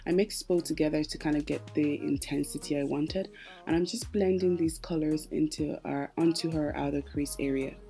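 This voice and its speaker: A woman's voice: sits low, fairly even intonation, normal speed, vocal fry, warm tone. A woman giving a casual makeup tutorial voice-over as host, chatty and explanatory, speaking directly to her viewers. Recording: music playing behind the voice. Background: background music.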